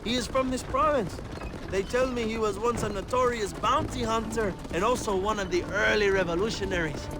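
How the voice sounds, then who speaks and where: A man's voice: high voice, brisk, noisy background, close to an everyday voice. An actor playing a vain, womanizing Mexican rebel leader in a video game cutscene, theatrical and grandiose, with a Mexican Spanish accent. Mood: boastful, sly. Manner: pompous, theatrical, effusive, peppering English with Spanish.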